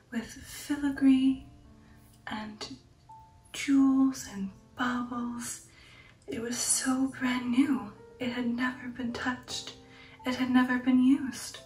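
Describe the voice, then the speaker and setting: A woman voicing a poised, sensual aristocratic vampire in a breathy, whispery ASMR storytelling style, intimate and genteel, with slow dramatic pauses. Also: a little high. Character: female aristocratic vampire, poised, sensual, recounting her past. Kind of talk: ASMR roleplay storytelling, whispered and soft-spoken. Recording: roomy echo, distant, music bed. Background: music.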